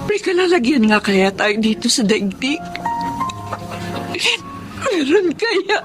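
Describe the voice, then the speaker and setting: A woman's voice: high-pitched, very animated, slow pace, music in the background, heavily acted. An actress playing a poor, homeless mother in a Filipino radio drama, speaking in a plaintive, polite, emotional way. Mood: anguished despair. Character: sorrowful, poor homeless mother, humble and weary.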